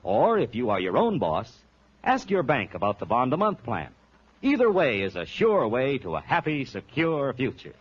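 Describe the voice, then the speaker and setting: A man with a husky voice announcing in a 1940s radio style. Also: mid-range voice, huge pitch swings, normal speed. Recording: dull old radio recording.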